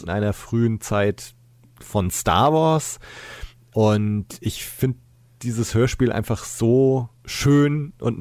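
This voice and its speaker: A man's voice: mid-range voice, a little mellow, dry recording. A man hosting a podcast, talking casually in a reflective, explanatory way. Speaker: podcast host. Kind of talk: casual discussion.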